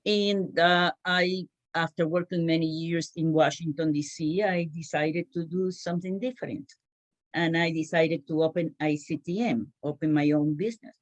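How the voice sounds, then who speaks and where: Middle-aged woman, from Cuba, lowish pitch, hesitant pauses, panel talk. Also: steady tone. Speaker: panelist, translation business owner. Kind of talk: panel talk, personal career account.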